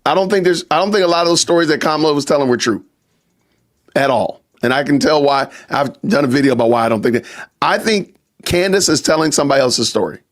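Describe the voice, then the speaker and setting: A man's voice: on the high side, fast. A man hosting a live stream podcast, talking casually to his chat and repeating rhetorical questions. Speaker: podcast host.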